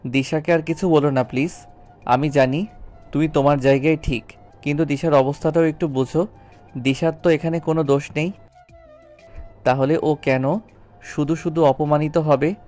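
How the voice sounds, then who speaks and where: অডিও গল্পে একজন পুরুষ অভিনেতা এক তরুণ স্কুলশিক্ষক স্বামীর চরিত্রে, আন্তরিক ও অনুনয়ের সুরে প্রমিত বাংলায় কথা বলছেন।. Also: male voice, on the high side, steady tone, normal speed, pauses often, a little mellow, natural voice. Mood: pleading. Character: earnest young schoolteacher husband, apologetic and pleading. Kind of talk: audio story drama acting. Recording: background music under voice. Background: music.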